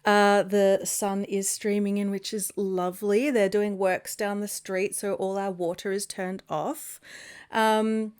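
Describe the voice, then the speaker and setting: A woman's voice: medium pitch, level tone, takes it slowly, darkish tone, clean tone. Giggly upbeat woman podcaster. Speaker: podcast co-host. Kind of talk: casual podcast chat. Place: home studio. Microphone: home podcast mic over video call.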